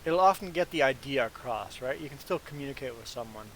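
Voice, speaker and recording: A man from Canada whose first language is Low German, chatting casually as he hosts a livestream of a farming game. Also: lively intonation, fast talker, low-quality recording. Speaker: livestream gaming host. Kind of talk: casual chat while streaming a farming game. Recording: clear background hiss.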